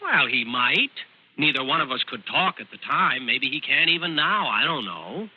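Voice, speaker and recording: An actor playing a smug, mock-polite blackmailer who talks casually and needles, in old-time radio drama style. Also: male voice, medium pitch, very animated, normal speed. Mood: sly, coaxing. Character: sly smug blackmailing brother, narrating his own scheme. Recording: muffled, husky vintage radio recording.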